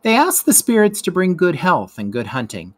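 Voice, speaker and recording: A man's voice: high voice, huge pitch swings, normal speed. Man reading an educational text aloud. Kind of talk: scripted educational read-aloud narration.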